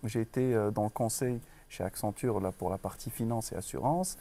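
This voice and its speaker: Un homme d'âge moyen, originaire du Maroc, directeur d'école en entretien, parle de façon posée avec beaucoup d'hésitations. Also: male voice, on the low side, warm tone. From Morocco. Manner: measured, many pauses and restarts. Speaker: school director. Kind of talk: interview.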